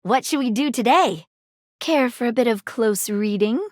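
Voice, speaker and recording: A flirty, nosy magnifying-glass sleuth, voiced by a woman with a lilt. Her voice sits fairly high and leaps around with drama and play. It sounds close and dry, with no room around it. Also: lively intonation, normal speed, darkish tone, no echo, natural voice. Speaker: dateable game character. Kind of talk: video game voice lines. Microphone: close studio mic.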